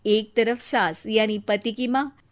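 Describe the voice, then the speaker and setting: A woman's voice: a little high, steady tone, slow. A woman narrator reading a scripted story for a Hindi cartoon.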